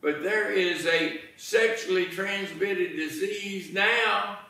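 An American man in his sixties preaching a sermon in a folksy, deliberate manner, pausing between phrases. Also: high voice, vocal fry, bright, forceful tone. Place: church sanctuary, indoors. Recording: very echoey church, distant microphone.

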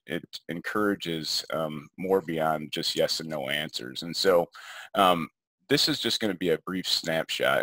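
A man with an extremely low, bright-edged voice presents an informal online seminar. He speaks haltingly as an educator explaining slides. The sound is dry and close. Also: no echo. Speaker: behavior health educator presenting.

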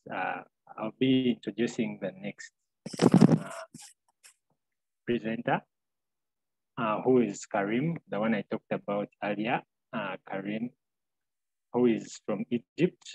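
Young man from Uganda, co-hosting and introducing speakers over a laptop call; low, gravelly and a bit husky, halting with fillers, dry close sound. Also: a little mellow, dry recording, dull audio. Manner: hesitant, many filler pauses. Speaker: co-host. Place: small room at home, indoors. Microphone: laptop webcam on video call.

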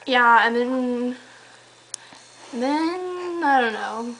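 High-voiced young woman teasing on a call. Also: animated, extremely slow, a bit bright. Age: young adult. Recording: noisy amateur home camera recording.

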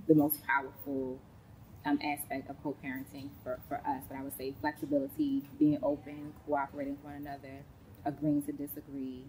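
A woman, a school counselor chatting as an interview guest, warm, with many ums. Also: rumbling low, slight background noise, low-quality recording. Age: late 30s. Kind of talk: casual interview talk. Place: large indoor sports facility studio.

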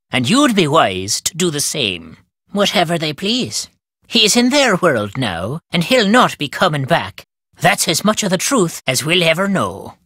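An actor playing a crotchety, superstitious old Irish caretaker in a video game, telling tales in a folksy, ominous, emphatic way. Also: male voice, fairly high pitch, sharp tone, dry recording, clean studio sound, exaggerated voice. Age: older. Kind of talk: theatrical storytelling game dialogue.

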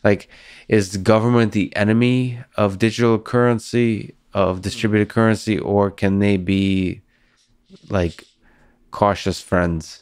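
A man in his thirties from Russia, hosting a podcast interview and asking slow, measured questions into a boom-arm studio mic in a small room. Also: steady tone, a little mellow, smooth, no echo. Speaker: podcast host.

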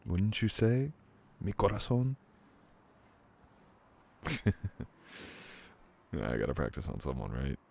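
Young male lead, very low gravelly tone, teasing and soft-spoken; scripted romance audio. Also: wildly swooping pitch, slow pace, pauses often. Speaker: audio roleplay performer. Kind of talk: scripted boyfriend roleplay ASMR.

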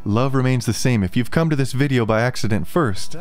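A man in his thirties with a slightly hoarse, congested voice, chatting casually and rambling about his music from a small home studio, laughing often. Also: mid-range voice, fairly quick, darkish tone. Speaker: musician vlogger. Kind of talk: casual behind-the-scenes chat. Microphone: studio mic on a boom, wearing headphones.

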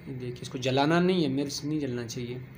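A man's voice: mid-range voice, quick pace, a little hiss or hum. A man narrating a home cooking tutorial, giving plain step-by-step instructions and repeating key phrases. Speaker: home cooking channel host.

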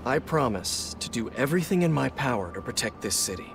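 A man's voice: mid-range voice, very animated, fairly quick, gravelly, noisy background. A man playing an encouraging, joking young veteran superhero in a video game, breezy and reassuring. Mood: playful. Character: encouraging, jokey young adult male veteran superhero mentor. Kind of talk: naturalistic video game voice acting.

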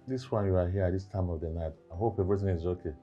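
A man's voice: deep voice, racing, lo-fi sound, faint music behind. A middle-aged man with a Nigerian accent acting a sceptical, reproving father in a family drama, blunt and questioning. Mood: wary, concerned.

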